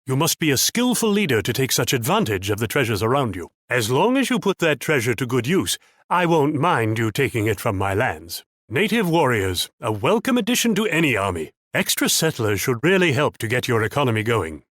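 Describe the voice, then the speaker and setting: Proud patronizing ruler, video game character, mid-pitched, theatrical, highly varied intonation, pompous delivery. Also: male voice, sing-song, a little fast, fairly bright tone, no room sound, clean studio sound. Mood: approving, smug. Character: proud, wealthy, patronizing military ruler and ally.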